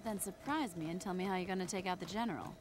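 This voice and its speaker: An actress playing a tough, foul-mouthed gang boss in a video game cutscene, blunt, sarcastic and commanding. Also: female voice, on the low side, quick pace, noisy background, lightly acted.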